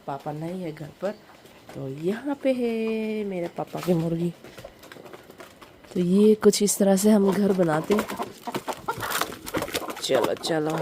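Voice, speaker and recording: A woman with a Northeast Indian accent chatting casually and informally to viewers in a home vlog. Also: lowish voice. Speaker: vlogger. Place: village home, indoors and out in the yard. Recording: noisy handheld home vlog recording.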